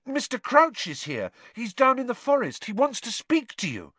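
Audiobook role: breathless, insistent teen boy wizard played by a man, pitched well up, urgent, prone to stammering. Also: wildly swooping pitch, moderate pace, a bit bright, dry recording, exaggerated voice. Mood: anxious, hurried. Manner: urgent, exclaiming, stammering over words.

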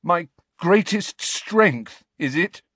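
Raging grief-stricken teenage boy, played by a man, loud and high, voice shaking, audiobook. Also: animated, slow pace, husky, dry recording. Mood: furious. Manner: shouting, voice shaking with rage.

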